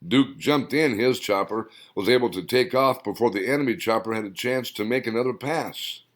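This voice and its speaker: A man reading his own story aloud as audiobook narration, in an expressive storytelling manner. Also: medium pitch, normal speed.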